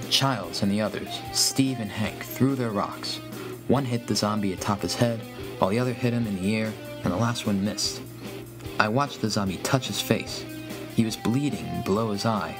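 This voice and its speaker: Guy narrating a horror story in first person, lowish voice, music going behind him. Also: moderate pace.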